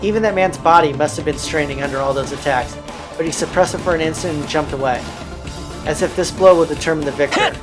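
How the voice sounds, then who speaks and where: A man's voice: on the high side, fast talker. A man hosting a let's play, reading visual novel narration aloud. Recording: music playing behind the voice. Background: game soundtrack.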